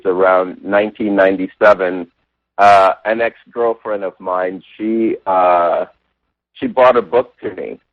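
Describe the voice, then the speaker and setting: A Jamaica-born man with a lowish voice tells his spiritual story as an interview guest. He speaks thoughtfully, restarting phrases and leaning on "you know". The sound is a narrow, phone-like line with no room echo. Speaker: interview guest, religious science practitioner. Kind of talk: reflective personal storytelling. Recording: tinny phone-like line, dry.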